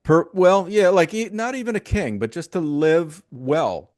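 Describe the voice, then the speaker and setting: A man's voice: on the high side, very animated, unhurried, a little mellow, dry recording, studio quality. A man hosting an online interview, enthusiastic and casual, often repeating words as he starts a sentence. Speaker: show host.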